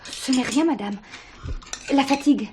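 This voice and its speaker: An actress playing a frightened, meek young innkeeper's daughter who waits tables, with a hesitant, deferential manner in a television drama. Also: female voice, sits high, normal speed, natural voice. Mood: timid, shaken. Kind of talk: French television drama acting. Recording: distant, echoey room, faint noise.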